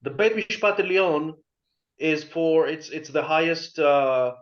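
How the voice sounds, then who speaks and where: A man's voice: a little high, measured pace. A man, arguing emphatically on a video call, repeating words and restarting sentences. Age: 40s. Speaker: panelist. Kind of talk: opinionated discussion on a video call. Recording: muffled video call audio.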